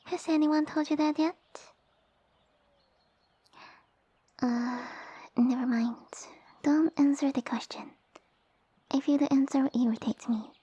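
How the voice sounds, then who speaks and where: A woman voicing a small, sassy female lamia who rules her village, speaking softly and breathily with formal, imperious phrasing in an ASMR roleplay. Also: high-pitched, fairly even intonation, moderate pace, no room sound, lo-fi sound, natural voice. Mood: flustered, curt. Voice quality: soft, breathy, whispery. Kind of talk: ASMR roleplay audio addressed to the listener.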